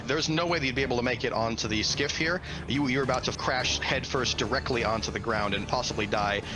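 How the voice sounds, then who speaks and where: A man runs a tabletop fantasy game as game master, telling it dramatically and adding his own vocal sound effects. His voice is mid-pitched, with loud music playing underneath. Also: poor audio.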